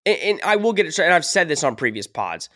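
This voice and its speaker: Guy hosting a sports pod, kinda sick and losing his voice, goes on animated rants, dry close sound. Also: a little high, fast, no room sound. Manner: animated, ranting, emphatic. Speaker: podcast host. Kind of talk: casual sports podcast chat.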